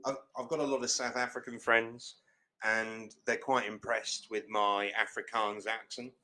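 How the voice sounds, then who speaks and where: A man's voice: lowish voice, little pitch movement, unhurried, noisy background. A young man hosting a casual film podcast, talking in an enthusiastic, rambling way with lots of fillers and restarts. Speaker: podcast host. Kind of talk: casual chat.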